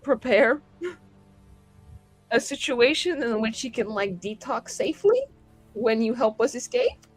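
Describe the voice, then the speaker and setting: Woman playing a sassy, vivacious, slow-drawn flirty adventuress. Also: a little high, sing-song, soft background music, pitched above the performer's own voice. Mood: scheming, casual. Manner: rambling, sassy, theatrical, casual modern slang. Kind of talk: improvised tabletop roleplay acting.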